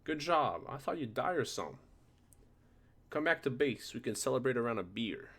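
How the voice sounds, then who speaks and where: A man's voice: medium pitch, sing-song, normal speed, creaky, a bit bright, slightly rough, voice pushed up, exaggerated voice. A man voicing a casual, congratulatory soldier comrade for an amateur game voice line. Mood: upbeat, congratulatory. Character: casual male soldier comrade praising a kill.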